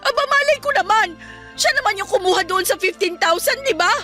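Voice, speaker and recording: A woman's voice: extremely high pitch, expressive, fairly quick, sharp tone, soft background music, heavily acted. A woman playing a whiny, pleading, evasive young housemaid in a melodramatic radio drama. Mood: feigned innocence.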